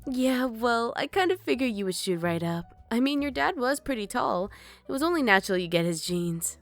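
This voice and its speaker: A woman's voice: a little high, lively intonation, moderate pace, faint music behind. A woman playing a teasing former babysitter.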